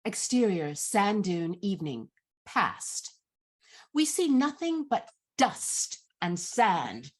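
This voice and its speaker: A woman narrates stage directions in a measured, descriptive way. Her voice sits at a medium pitch and the pace is slow. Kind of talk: scripted narration.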